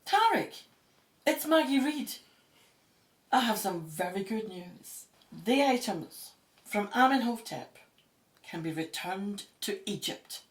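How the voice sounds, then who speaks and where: A woman acting a brisk, sceptical Egyptologist with a wry, matter-of-fact manner in an audio drama. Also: mid-range voice, sing-song, slight background noise. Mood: pleased.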